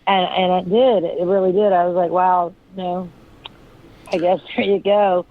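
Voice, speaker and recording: Raspy hoarse lady rambling on, lots of you knows, tinny phone sound. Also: on the low side, steady tone, unhurried. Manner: rambling, searching, full of fillers. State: hoarse from illness. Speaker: guest, friend of the deceased. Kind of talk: casual interview talk.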